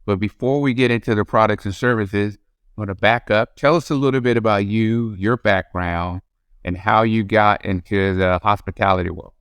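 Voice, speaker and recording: Brief: male podcast host, low voice, smooth and even, friendly questioner. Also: normal speed, no echo. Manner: easygoing, leading with questions. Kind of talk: interview host.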